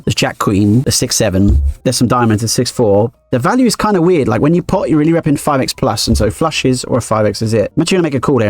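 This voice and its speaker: Fast-talking man breaking down poker hands. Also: mid-range voice, darkish tone, no room sound. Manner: quick, analytical thinking aloud. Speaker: poker streamer. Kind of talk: live-streamed poker play and commentary.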